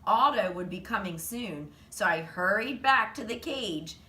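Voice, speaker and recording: Woman, expressive children's read-aloud, animated storyteller, bright tone, echoey room, slightly distant mic. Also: medium pitch, measured pace. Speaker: reader, teacher. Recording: roomy, distant mic, faint noise.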